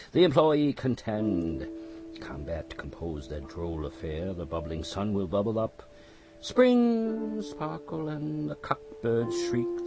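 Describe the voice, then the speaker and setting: A man reciting poetry in a theatrical, dramatic manner with strong emphasis. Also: sits low. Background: music behind the voice.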